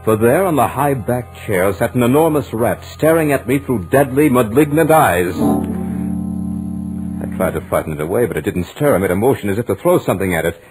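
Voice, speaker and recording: A man playing a scholarly gentleman lodger, narrating a haunted-house ordeal with dramatic, deliberate emphasis in 1940s radio style. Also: mid-range voice, expressive, close to an everyday voice, low-quality recording. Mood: tense, suspenseful. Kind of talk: 1940s radio horror acting, first-person narration. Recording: vintage 1940s radio recording, music behind.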